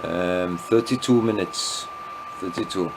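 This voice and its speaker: Slow, rambling Welsh man. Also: low voice, vocal fry, background noise. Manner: meandering, loses his thread, self-deprecating asides. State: tipsy after wine. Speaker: vlogger. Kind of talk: rambling evening video diary.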